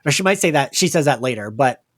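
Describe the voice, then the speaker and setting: Animated podcast host, very expressive pitch. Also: fast talker, no echo, studio quality. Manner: animated, gushing fan talk peppered with laughter. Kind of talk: casual chat recapping an audio drama.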